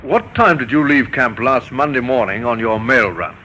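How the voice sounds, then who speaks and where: An actor playing a courteous, methodical police inspector who questions briskly in a vintage radio drama. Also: male voice, medium pitch, lively intonation, pushed and bright. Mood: brisk questioning. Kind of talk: 1950s radio drama acting. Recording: old radio recording with faint noise.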